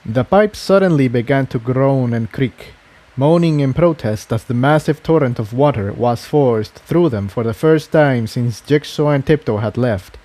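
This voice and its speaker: A man's voice: mid-range voice, normal speed, mellow. A man reading a scripted adventure story aloud as narrator. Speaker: fan fiction narrator.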